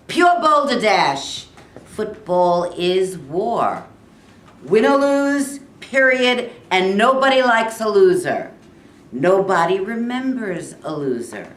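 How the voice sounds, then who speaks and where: A woman playing a fiery middle-aged male high school football coach, giving a commanding pep talk in a staged reading. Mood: stern, commanding. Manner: emphatic, commanding, rousing. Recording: echoey live room.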